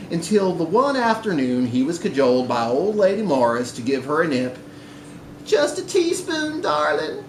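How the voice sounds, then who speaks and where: A man reading literary fiction aloud to a live audience in a bar, with an expressive, theatrical delivery. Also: fairly high pitch. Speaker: story reader at a live literary event. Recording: echoey live room recording with background noise.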